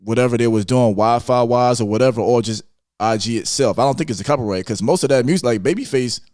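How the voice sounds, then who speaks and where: A man's voice: mid-range voice, quick pace, a little mellow, no room sound, clean studio sound. A man hosting a podcast, talking casually about music in informal, slangy speech. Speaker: podcast host.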